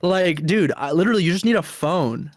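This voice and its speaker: A man's voice: high-pitched, expressive, brisk, no room sound, clean studio sound. A man in his twenties on a video call, teasing and joking at the others. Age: 20s. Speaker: co-host. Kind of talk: casual chat on a call.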